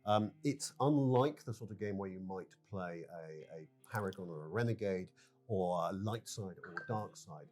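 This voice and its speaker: A man explaining a game as its developer in an interview, halting and repeating words as he goes. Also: deep voice, a little mellow.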